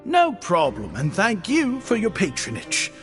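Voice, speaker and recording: An ingratiating shopkeeper talks in a high, lively voice. He is courteous and eager to please, a video game merchant with faint music behind. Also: measured pace, heavily acted. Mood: eager, obliging. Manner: polite, ingratiating. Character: eager, flattering male shopkeeper, courteous salesman. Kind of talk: video game dialogue acting.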